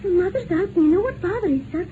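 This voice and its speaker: High-pitched housemaid, 1950s radio play, excitable and gossipy, very lively intonation, noisy vintage sound. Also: female voice, fast, narrow, tinny audio. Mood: chatty, wistful. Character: chatty, emotional working-class housemaid, landlady's daughter. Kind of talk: 1950s radio drama acting.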